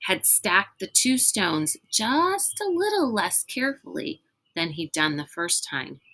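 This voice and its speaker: A woman reading a children's novel aloud in an expressive, dramatic storytelling manner. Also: medium pitch, takes it slowly, poor audio. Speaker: reader reading a book aloud.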